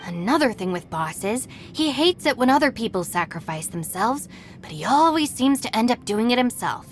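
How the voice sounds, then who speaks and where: A woman's voice: a little high, very animated, normal speed. An actress playing a weary, sensible young woman, a gang deputy in a video game, matter-of-fact, with music behind. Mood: exasperated, fond. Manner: matter-of-fact, long-suffering. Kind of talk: game voice acting.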